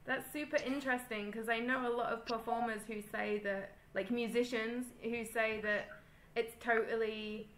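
A woman in her thirties hosts a video call panel, talking chattily with many filler words and a slightly high, very bright voice. The laptop mic catches an echoey room with faint noise behind her. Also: steady tone, normal speed. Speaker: festival host moderating panel.

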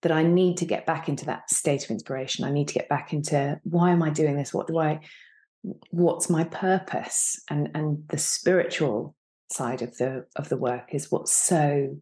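A woman's voice: sits low, moderate pace, mellow. A woman in her thirties talking reflectively and earnestly as a guest actor in an interview on a video call. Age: 30s. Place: indoor room on a video call.

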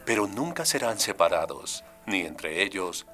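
A man's voice: sits low, sing-song, a little slow, music in the background. A man voicing a solemn documentary storyteller, giving dramatic narration in a cartoon. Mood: solemn, mysterious.

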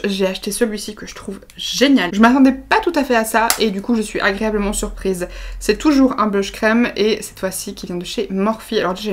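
A young woman chatting her way through a makeup tutorial outdoors, rambling with lots of fillers and restarts. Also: medium pitch. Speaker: beauty vlogger. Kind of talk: casual makeup tutorial chat. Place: outdoors in front of a hedge.

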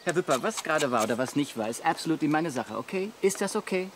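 An actor playing a smooth-talking, bossy advertising photographer with a gushing, directive manner in a comic radio play. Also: male voice, a little high, animated, fast, a little hiss or hum, natural voice. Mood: annoyed. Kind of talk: German radio play acting.

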